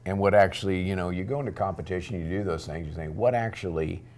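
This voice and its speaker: A man hosting a local TV interview, asking questions in a conversational way with a low voice. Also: fast, a little mellow. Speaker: interview host.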